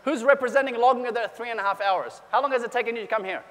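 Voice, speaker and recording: A man with a high voice delivers a motivational keynote to a convention crowd. He keeps the audience involved with questions and calls for applause. His voice rings out with a long hall reverb. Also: fast, a bit bright. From New Zealand. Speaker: motivational speaker.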